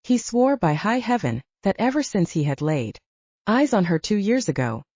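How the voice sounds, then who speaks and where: A woman's voice: mid-range voice, very animated, moderate pace, darkish tone, no room sound, lo-fi sound. A woman reading literary fiction as a scripted audiobook narration, moving between story and dialogue.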